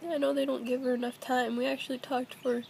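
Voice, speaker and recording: A woman's voice: high voice, flat delivery, fast talker, creaky, darkish tone, a little hiss or hum. A young adult woman talking casually and hesitantly in a personal confessional vlog, recorded at home on a built-in device mic.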